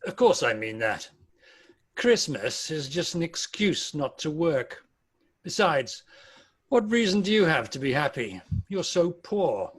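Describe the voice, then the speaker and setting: Miserly old Victorian uncle played by a man, scornful and curt, fairly high male voice, very unhurried delivery. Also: big pitch swings, slightly rough, exaggerated voice. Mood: scornful, grumbling. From United Kingdom. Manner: curt, dismissive, sarcastic. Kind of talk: amateur table read of a Victorian Christmas story.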